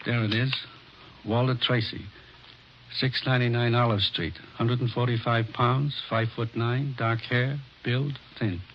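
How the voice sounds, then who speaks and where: An actor playing a sheepish, apologetic pawnshop clerk with a gravelly voice, in an old radio drama. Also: male voice, medium pitch, steady tone, a little slow, a little hiss or hum, natural voice. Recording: muffled vintage radio broadcast.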